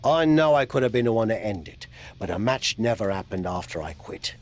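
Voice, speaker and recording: A man acts out a veteran wrestler turned mentor, middle-aged and proud. His delivery is animated, frank and teasing, swinging freely in pitch. It is video game cutscene drama with faint music underneath. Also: fairly quick, close to an everyday voice. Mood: determined, persuading. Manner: frank, coaxing, wry.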